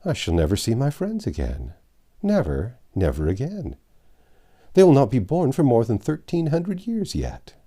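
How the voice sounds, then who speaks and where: A man's voice: medium pitch, huge pitch swings, normal speed, mellow, slightly rough. A man voicing a bewildered, practical Yankee stranger in a storybook, with a folksy, questioning manner. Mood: mournful.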